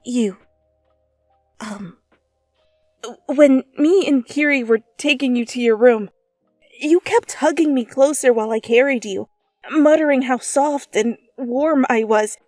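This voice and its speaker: A woman voicing a flustered, feisty teenage student in an animated, halting dramatic fan fiction reading. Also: high voice, dry recording, studio quality, soft background music, voice pushed up. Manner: animated, stammering, swinging from taunting to apologetic.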